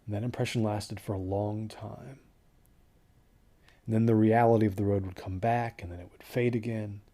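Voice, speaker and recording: An actor playing a traumatized, exhausted man recounting a doomed desert trek in a halting, hushed horror audio drama narration. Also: on the low side, steady tone, natural voice. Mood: haunted, weary. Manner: halting, hushed, haunted delivery.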